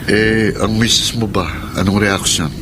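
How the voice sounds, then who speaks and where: Low-voiced defensive husband played by an actor, noisy. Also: male voice, steady tone, a little slow, lightly acted. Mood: glum, aggrieved. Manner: pleading, defensive. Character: apologetic, hard-working husband, defensive and aggrieved. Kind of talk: radio drama acting.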